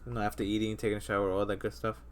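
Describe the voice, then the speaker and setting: A man's voice: lowish voice, flat delivery, very fast. A man in his thirties hosting a casual podcast chat, rambling with lots of fillers and restarts. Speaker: podcast host.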